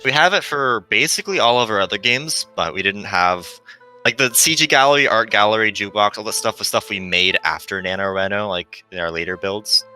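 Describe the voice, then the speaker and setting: A man's voice: fairly low pitch, fairly quick, bright, forceful tone, smooth, faint music behind. A man in his twenties chatting casually as a guest game developer about his work. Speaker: visual novel developer, guest.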